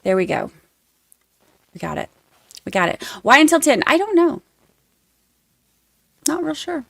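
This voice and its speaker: A woman's voice: high voice, sing-song, a little slow, vocal fry, a bit bright, dry recording. A woman with a Southern Georgia accent hosting a casual livestream, rambling and self-deprecating as she chats to her viewers. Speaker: livestream host covering trials.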